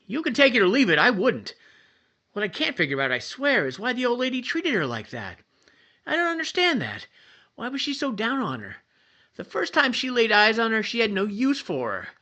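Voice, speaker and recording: An actor playing a defensive, gossipy witness in a 1950s radio crime drama. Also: male voice, extremely high pitch, wildly swooping pitch, moderate pace, bright, forceful tone, exaggerated voice. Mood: defensive, indignant.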